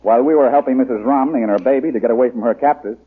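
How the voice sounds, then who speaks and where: An actor playing a wry, easygoing young gentleman adventurer in a 1930s-style radio drama. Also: mid-range voice, very fast, husky, close to an everyday voice. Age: young adult. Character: lanky young gentleman adventurer, wry, hearty, good-natured. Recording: muffled old radio broadcast recording.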